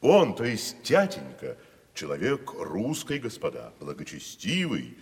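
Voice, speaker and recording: A grandiose tragic actor. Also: male voice, deep voice, wildly swooping pitch, measured pace, gravelly, heavily acted. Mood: mock-festive. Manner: theatrical, declamatory, mock-solemn. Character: grandiose wandering tragic actor, man, middle-aged. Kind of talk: 1970s studio radio play. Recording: reverberant studio.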